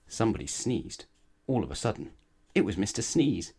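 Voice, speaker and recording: A man reading a children's bedtime story aloud in an expressive storytelling style. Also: lowish voice, normal speed, slightly rough. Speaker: storybook reader.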